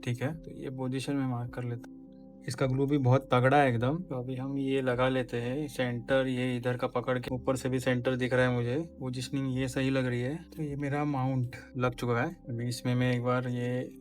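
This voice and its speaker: A man's voice: medium pitch, level tone, moderate pace, faint music behind. A man vlogger casually explaining a hands-on installation step by step, giving practical tips as he works. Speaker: motorcycle vlogger.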